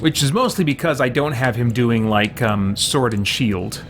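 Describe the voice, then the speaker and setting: A man's voice: mid-range voice, moderate pace. A man giving casual video game commentary as a let's play host, hesitantly thinking aloud. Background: game music.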